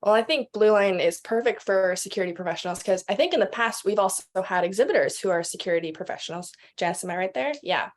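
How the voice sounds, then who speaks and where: Female podcast guest, conversational and chatty, mid-pitched, illustrates points with acted-out examples. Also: lively intonation, fast talker, hardly any pauses. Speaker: podcast guest, expo representative. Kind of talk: casual interview answers.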